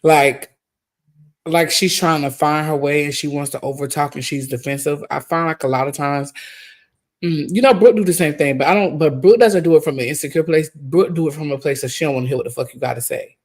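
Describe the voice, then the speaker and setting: A woman's voice: deep voice, a little fast, a little mellow. A woman guest in a casual chat, giving candid, blunt opinions.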